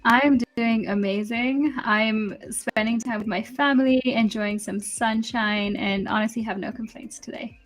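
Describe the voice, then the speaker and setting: A young woman from Kenya, a software developer, chatting as an interview guest, upbeat, laughing often. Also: a little high, steady tone, unhurried, hardly any pauses, soft background music, poor audio. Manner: friendly and upbeat, laughs often, uses fillers. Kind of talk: casual interview.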